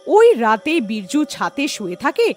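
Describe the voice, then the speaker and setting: A woman narrating a cartoon horror story in a lively storytelling manner. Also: a little high, normal speed, soft background music.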